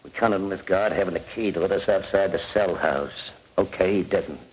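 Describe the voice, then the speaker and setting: Low-voiced ruthless convict ringleader, played by a man. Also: normal speed, close to an everyday voice, rough recording. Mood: commanding. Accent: American. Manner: tough slangy gangster talk, barking orders. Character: ruthless male convict ringleader, commanding and violent. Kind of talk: 1940s radio acting. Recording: old 1940s radio broadcast, background noise.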